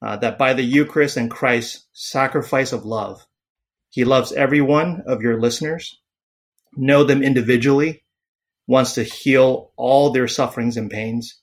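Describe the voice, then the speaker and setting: A man in his forties from Taiwan, a psychiatrist speaking as a podcast guest, pausing often with fillers and restarts. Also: mid-range voice. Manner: hesitant, many fillers and restarts. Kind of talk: podcast interview.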